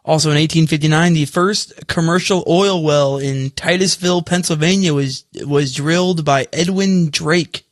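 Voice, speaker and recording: A man's voice: fairly high pitch, normal speed, hardly any pauses, no room sound, studio quality. A man chatting casually as a podcast guest, offering facts and short remarks in conversation. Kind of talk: casual chat with read-out trivia facts.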